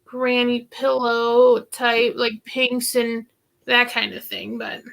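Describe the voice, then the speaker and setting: Woman host, slightly high and gravelly, rambling casual chat, filler-heavy. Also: level tone, takes it slowly, fairly bright tone. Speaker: host of a vintage thrifting channel.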